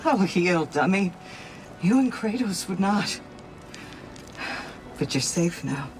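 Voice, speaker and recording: Tough, slow-spoken valkyrie warrior. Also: female voice, fairly low pitch, expressive, soft background music. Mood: weary, tender. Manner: blunt, clipped. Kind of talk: video game cutscene acting.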